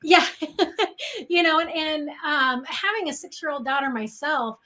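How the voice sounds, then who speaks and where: A woman's voice: sits high, animated, a little slow, pushed and bright. A middle-aged woman chatting casually as an interview guest. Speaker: interview guest, horror writer. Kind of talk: casual chat.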